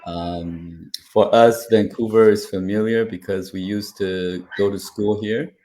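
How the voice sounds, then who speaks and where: A man's voice: fairly low pitch, fairly even intonation, a little slow, warm tone, slight background noise. A man from Taiwan, an interview guest, telling his story in a rambling way with many fillers.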